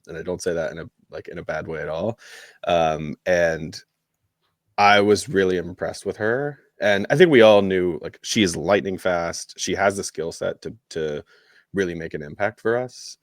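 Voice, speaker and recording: Man, low voice, dry sound, halting delivery, casual soccer podcast chat. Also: dry recording. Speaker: podcast co-host.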